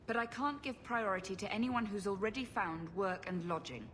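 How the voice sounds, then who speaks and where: An actress playing a firm, plain-spoken, protective refugee leader in a fantasy video game. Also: female voice, animated, moderate pace, fairly bright tone, close to an everyday voice. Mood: guarded. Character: guarded, no-nonsense refugee leader, protective woman. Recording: faint rumbling noise behind the voice.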